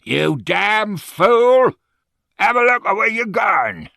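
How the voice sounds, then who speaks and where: A man voicing an angry Cockney tram driver in an audiobook, yelling roughly. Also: high voice, sing-song, slow pace, no room sound, studio quality, voice pushed up, exaggerated voice. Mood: furious.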